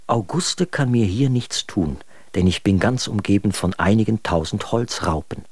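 An exasperated writer reading out his letters in a radio drama. His voice sits fairly low in a very dry studio sound, and he recounts his troubles with articulate, sardonic scorn. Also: expressive, normal speed, a little mellow, husky, no echo. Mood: wry, harried.

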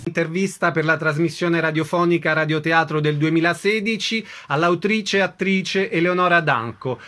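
A man's voice: on the high side, moderate pace, bright, forceful tone. A man hosting a radio show, putting questions to guests and announcing events.

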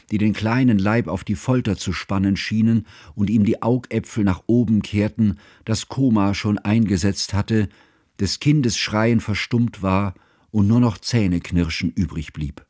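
A grave, low-voiced scholarly narrator. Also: male voice, mellow, no room sound, studio quality. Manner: measured, formal, solemn. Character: grave, devoted male scholar narrating his friend's tragedy. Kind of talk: literary scripted narration in a radio drama.

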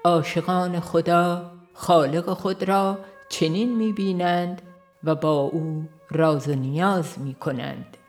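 A woman reading a devotional book aloud in a slow, earnest, reflective way. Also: on the low side, darkish tone. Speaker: audiobook reader. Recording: faint instrumental music behind.

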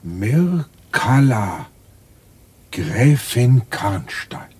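An actor playing a protective, fond middle-aged father and general in a radio drama, with a husky, breathy voice. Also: medium pitch, very animated, drawn-out words, darkish tone, heavily acted. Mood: astonished.

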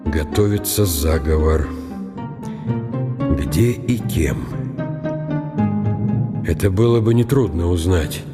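An older actor playing a shrewd, ambitious old field marshal, musing deliberately to himself in a radio play. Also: male voice, deep voice. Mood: brooding, calculating. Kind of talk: radio drama monologue. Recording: long hall reverb, music underneath. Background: music.

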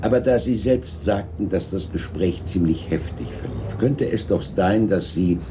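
An actor playing a dogged London police chief inspector, sardonic and insistent, in a radio crime play. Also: male voice, deep voice, normal speed, noisy background, natural voice. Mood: impatient politeness. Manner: sardonic, insistent, dry politeness.

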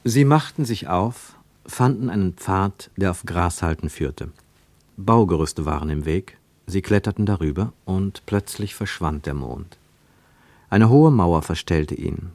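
A man's voice: low voice, expressive, measured pace, darkish tone, dry recording. A man reading scripted literary narration for a radio drama, with dramatic, clipped phrasing.

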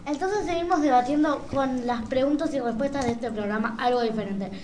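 A woman's voice: high-pitched, fairly quick. A woman with an Argentine accent hosting an informal live radio debate. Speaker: radio programme host. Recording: noisy live radio recording.

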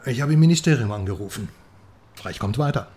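A man's voice: mid-range voice, animated, brisk, natural voice. A man playing a cocky, mocking journalist. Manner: teasing, sarcastic, jumps between loud and quiet. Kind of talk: radio drama acting.

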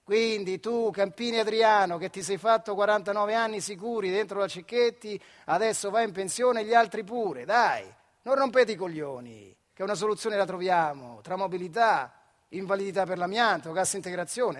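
A male actor puts on a callous factory boss with a central Italian accent, pitched high. He is brash and blunt, pushing each phrase out forcefully. It is colloquial speech played as theatrical drama. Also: animated, normal speed, long reverb tail. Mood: brusque.

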